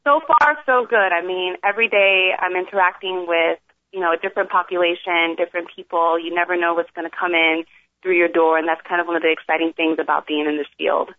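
A woman's voice: on the low side, fairly even intonation, fast talker, no echo. A young woman from the Bronx, an emergency room doctor, explaining her work as a guest on a talk show. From the Bronx, New York. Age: young adult. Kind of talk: phone interview. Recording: tinny phone line, dry.

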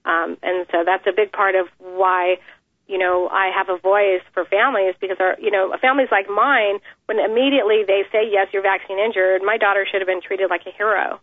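A woman in an interview, giving an earnest, measured account of her family with many pauses. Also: mid-range voice, fairly even intonation, no echo. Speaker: interview guest, mother. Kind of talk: phone interview, personal account. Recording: tinny phone line.

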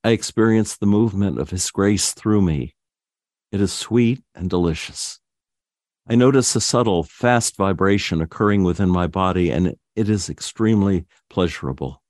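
A man reading a guided spiritual meditation in a slow, soft, soothing manner. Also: sits low, no echo, clean studio sound. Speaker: meditation narrator.

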